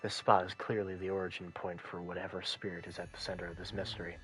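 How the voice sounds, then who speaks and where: Young guy streamer, deep low voice, reading game story slow and hushed. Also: flat delivery, low-quality recording. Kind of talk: reading game text aloud.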